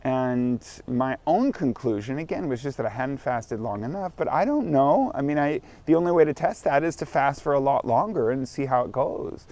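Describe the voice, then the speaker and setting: A man's voice: mid-range voice, animated, normal speed, few pauses, a little mellow. A man in his forties, vlogging outdoors in morning sunshine, talking candidly in a rambling, self-correcting way. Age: 40s. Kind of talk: casual self-recorded vlog.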